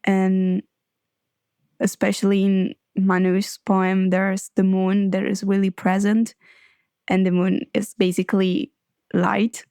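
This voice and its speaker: Young woman guest, Italian first language, earnest and even, smooth voice, little pitch movement. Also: dry recording, studio quality. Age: 20s. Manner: measured, earnest. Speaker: guest poet.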